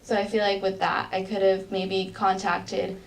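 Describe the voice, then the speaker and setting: A woman's voice: medium pitch, little pitch movement, unhurried, vocal fry. A young adult woman, a college student, answering questions politely and earnestly in a mock job interview, with frequent ums and likes. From United States. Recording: distant mic with faint background noise.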